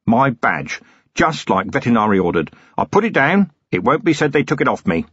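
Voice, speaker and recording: A man voicing a gruff, weary, middle-aged city police commander, sardonic and full of complaint, for an audiobook. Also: mid-range voice, big pitch swings, normal speed, fairly bright tone, dry recording, lo-fi sound. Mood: bitter, wounded.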